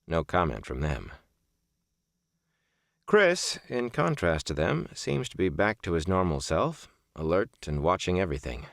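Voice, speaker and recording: Low-voiced man narrating an audiobook, expressive pitch. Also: a little slow, vocal fry, no echo, studio quality. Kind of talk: scripted audiobook narration of a reflective first-person memoir novel.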